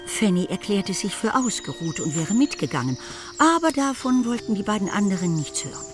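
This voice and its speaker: A woman's voice: mid-range voice, sing-song, fairly quick, music in the background, natural voice. A woman performing as the narrator of a period novel in a radio play, in scripted literary narration. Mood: composed.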